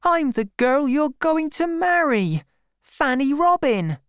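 An anxious young woman in love , as played by a female storybook reader, speaks in a raised, high pitch. Her delivery trembles and pleads, tender and easily hurt. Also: big pitch swings, takes it slowly, no room sound, clean studio sound, heavily acted. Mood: eager, pleading.

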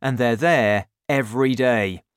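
A man reading an audiobook, voicing a scheming father in a lowered, softer, confiding voice. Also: a little slow, clear, resonant tone, no echo, studio quality, close to an everyday voice. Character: warm, scheming father, quietly resentful. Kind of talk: audiobook character voice.

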